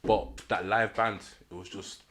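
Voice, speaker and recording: A man's voice: fairly low pitch, fairly even intonation, measured pace, fairly bright tone, slight background noise. A young man from Nigeria who grew up in London, talking casually as a rapper guest, laid back and full of slang. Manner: laid-back slangy talk, laughs often. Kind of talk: casual chat.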